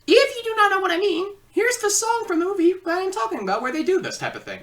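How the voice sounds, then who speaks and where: A woman's voice: very high-pitched, big pitch swings, fast talker, recorded from a distance. A woman giving a casual, comedic review with sarcastic, rambling asides. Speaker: video reviewer.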